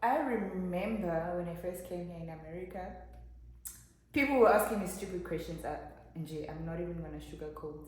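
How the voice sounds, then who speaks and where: A woman's voice: lowish voice, a little fast, a little mellow. A young South African woman guest in a casual chat, telling stories bluntly and frankly. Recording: echoey room with faint noise.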